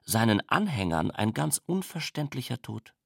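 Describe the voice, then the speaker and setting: A man's voice: mid-range voice, big pitch swings, moderate pace, gravelly, slightly rough, no room sound, studio quality. A man reading literary prose aloud in a formal manner.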